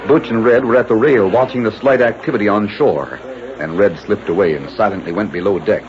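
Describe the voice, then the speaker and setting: A man's voice: fairly low pitch, a little fast, over music, lightly acted. A man narrating a 1940s radio drama in a suspenseful, declamatory scripted style. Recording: muffled 1940s radio broadcast.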